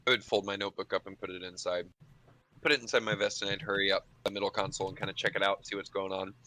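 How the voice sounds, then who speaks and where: Low, bright-voiced man improvising. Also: flat delivery, fast talker, poor audio. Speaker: tabletop role-playing game player. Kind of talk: improvised roleplay, describing his character's actions.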